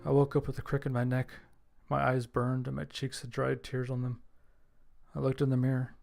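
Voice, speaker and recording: A man reading scripted first-person horror fiction as its narrator, with a gravelly voice. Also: medium pitch, completely flat delivery, normal speed, darkish tone, faint music behind.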